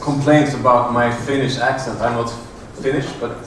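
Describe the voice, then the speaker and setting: A middle-aged man giving a conference talk in a large hotel room, halting with many fillers and restarts. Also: mid-range voice, steady tone. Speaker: conference presenter. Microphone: room audio from a far camera. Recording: echoey, distant room recording with noise.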